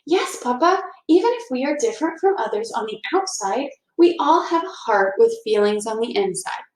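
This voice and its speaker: A woman's voice: a little high, big pitch swings, moderate pace, slight background noise. A woman voicing an earnest, sensitive little schoolgirl in a storybook read-aloud. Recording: roomy, echoing indoor sound.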